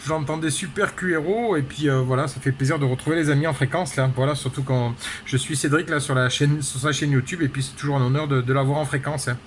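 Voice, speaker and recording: A man's voice: fairly high pitch, fairly even intonation, quick pace, few pauses, a little hiss or hum. A man chatting casually as an amateur radio operator, rambling along with many repeated tag words. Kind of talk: casual radio contact chat.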